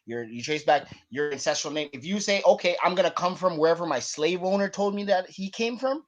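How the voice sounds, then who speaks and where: A man's voice: sits high, animated, a little fast, highs cut off. A man from Canada in a heated informal debate, speaking emphatically and combatively, often repeating himself and swearing. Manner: emphatic, repetitive, combative, profane. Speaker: panelist on an online debate show.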